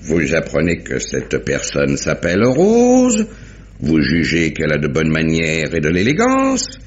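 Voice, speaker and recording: Police inspector, genial, methodical, theatrical, extremely animated intonation, dull old radio drama sound. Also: male voice, a bass voice, full character voice. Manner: deliberate, theatrical recapping. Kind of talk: 1950s French radio mystery acting.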